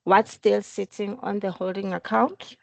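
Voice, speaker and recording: Woman with a very creaky voice. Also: mid-range voice, little pitch movement, unhurried, a little mellow, no echo, dull audio. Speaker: employee explaining her accounting work. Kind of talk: work meeting, explaining procedures step by step. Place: recorded online work meeting.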